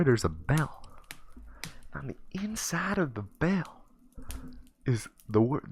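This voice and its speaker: A man's voice: mid-range voice, voice pushed up. Man putting on a folksy, drawling homeless drifter. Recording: noisy, keyboard clicks.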